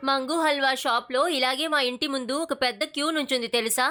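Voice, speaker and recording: A chatty show-off cartoon kid, high bright voice. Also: female voice, fairly even intonation, fast talker. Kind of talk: cartoon dubbing.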